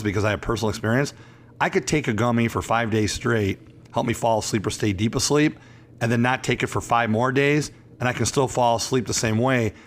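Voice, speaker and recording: A hoarse man in his fifties, an attorney hosting a talk show, chatting in a rambling, emphatic and self-assured way. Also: medium pitch, a little hiss or hum. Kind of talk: casual radio talk show chat.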